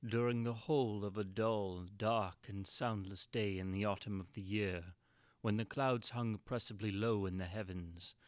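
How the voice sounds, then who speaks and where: A man's voice: on the low side, fairly even intonation, normal speed, no echo. A man narrating gothic horror as a dramatic reading, with an intense theatrical delivery. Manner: theatrical, intense delivery with big swings from hushed to crying out.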